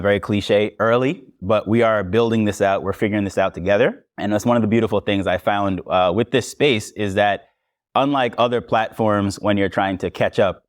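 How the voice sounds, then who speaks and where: A man with a Jamaican accent, a podcast host speaking on a conference panel in a relaxed, conversational way. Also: on the low side, smooth, no room sound, clean studio sound. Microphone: lavalier mic.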